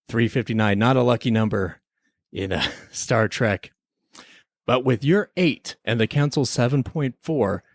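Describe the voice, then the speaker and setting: A man's voice: medium pitch, no echo, studio quality. A man hosting a podcast as an investigator, giving a semi-scripted comedic review in a conversational, mock-official manner. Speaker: podcast host playing an investigator.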